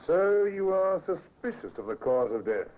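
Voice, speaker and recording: A man acting a brisk, authoritative Victorian police inspector in a 1950s radio drama, questioning formally. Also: high voice, very animated. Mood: probing, cool. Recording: thin, phone-like old radio recording, noisy.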